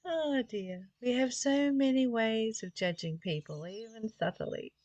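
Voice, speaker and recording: A woman's voice: on the high side, unhurried, darkish tone, no room sound, dull audio. A woman reading spiritual text aloud and musing on it informally, in a reflective way with rhetorical questions. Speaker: spiritual study reader and commentator.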